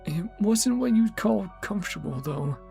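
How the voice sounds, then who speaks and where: Brief: easygoing male game streamer, gravel in the voice, lively pitch, game music underneath. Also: moderate pace. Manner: laid-back, chuckling, reads game text aloud. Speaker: gaming streamer. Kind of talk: casual let's-play commentary.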